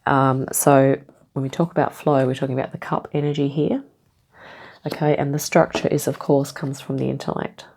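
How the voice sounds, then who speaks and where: A woman giving a casual tarot reading, rambling gently with lots of ums and okays, a bit nasal from a cold. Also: a bass voice, level tone, normal speed, creaky. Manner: meandering, many ums and okays, gentle reassuring tone. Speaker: tarot reader.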